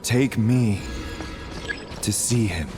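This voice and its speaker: An actor playing a solemn, mysterious spirit-like figure in a video game. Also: male voice, on the low side, drawn-out words, over music. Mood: mournful.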